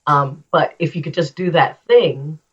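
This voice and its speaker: A woman's voice: deep voice, measured pace, slightly rough. A woman English coach talking casually and energetically as an interview guest. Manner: energetic, action-focused, animated when acting out scenes. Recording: slightly dull, lo-fi sound.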